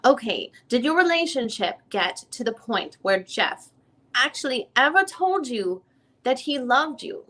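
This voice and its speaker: A woman playing a female lawyer takes a witness through a deposition. Her voice is fairly high and sharply bright. She questions persistently and methodically, restarting and rewording as she goes. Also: big pitch swings, measured pace, exaggerated voice. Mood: pressing, methodical. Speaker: reader playing a deposing attorney. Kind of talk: dramatic reading of a deposition transcript.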